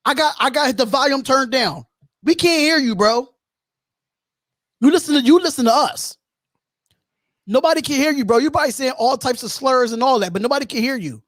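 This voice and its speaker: A man's voice: very high voice, fairly quick, pauses often, a bit bright, no room sound. A man hosting a casual live sports call-in stream, talking in slangy, repetitive phrases. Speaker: host of a sports call-in show.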